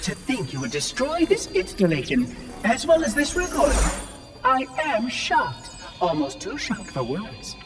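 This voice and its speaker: An actor voicing a pompous, fussy robot caretaker in a video game, with precise, theatrical and menacing speech. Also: male voice, high-pitched, huge pitch swings, a little slow, over music, exaggerated voice. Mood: shocked. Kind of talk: cinematic game acting.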